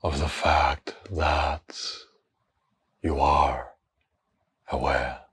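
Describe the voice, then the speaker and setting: A man guides a meditation in an exceptionally deep voice with a breathy, husky grain. His intonation stays very even and his delivery is slow and hushed. He sets out short phrases and leaves long pauses between them.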